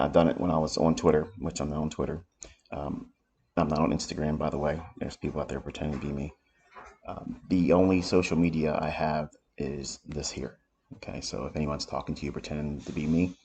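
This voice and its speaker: A man with a raspy voice from allergies, giving unhurried live commentary as he explains market charts. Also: very deep voice, a little hiss or hum. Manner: unhurried, thinking aloud while explaining charts. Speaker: trading educator. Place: home office.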